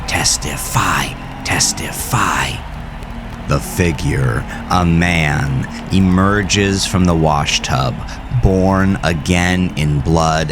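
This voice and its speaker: A man narrating his own horror story in a scripted, dramatic style, deliberate and suspenseful. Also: very deep voice. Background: music under the narration.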